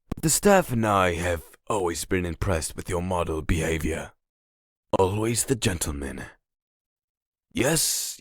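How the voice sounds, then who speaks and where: Comic-dub doctor, male actor: low husky voice, slow measured pace, polite but patronising. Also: no room sound, clean studio sound, full character voice. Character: formal, smooth-talking, satisfied male institute doctor.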